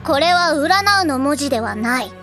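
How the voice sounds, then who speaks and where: A woman's voice: high voice, expressive, normal speed, sharp tone, soft background music, full character voice. A woman playing a self-serious little girl acting as a hard-boiled detective, delivering dramatic deductions in an anime audio drama. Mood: solemn accusation.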